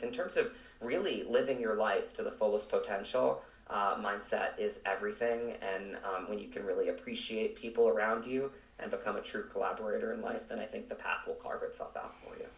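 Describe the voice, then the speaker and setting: Male interview guest, actor. Low voice, earnest and emphatic, conversational, picked up from a distance with room echo. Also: fairly even intonation, fairly quick. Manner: reflective, emphatic, circling back over phrases. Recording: roomy echo, distant microphone.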